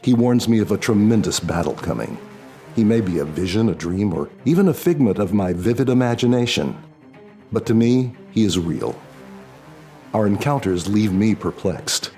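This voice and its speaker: Male, low pitch, expressive range, solemn dramatic delivery, deliberate audiobook narration. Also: soft background music. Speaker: author reading own novel.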